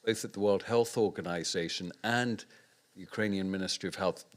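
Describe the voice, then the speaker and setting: A man's voice: low-pitched, brisk. A young man moderating a panel in a conference room, asking a long question with many restarts. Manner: hesitant, stumbling question. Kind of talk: panel discussion.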